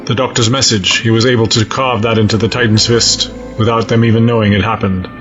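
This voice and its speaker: A man playing a cold, resolute alien space-fleet admiral in a sci-fi audio drama, speaking in formal, measured, stiff diction. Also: fairly low pitch, steady tone, highs cut off, music in the background, natural voice. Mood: grave, resolute.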